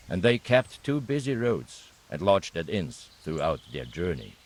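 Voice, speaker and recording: A grim, deep-voiced knight. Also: male voice, full character voice. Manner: blunt, matter-of-fact reporting. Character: grim male knight investigating counterfeiters. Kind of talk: video game cutscene acting.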